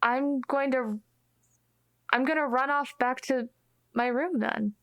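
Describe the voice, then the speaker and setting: Female tabletop player: fry-edged voice, casual game chat. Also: high voice, slow pace, fairly bright tone.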